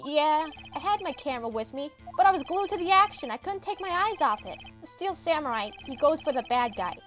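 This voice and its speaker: Woman putting on a very high, bratty little boy. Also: animated, brisk, fairly bright tone, soft background music, rough recording. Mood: boastful. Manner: cheeky, sulky. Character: bratty, defiant little boy, superhero fanatic. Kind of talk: video game fan dub acting.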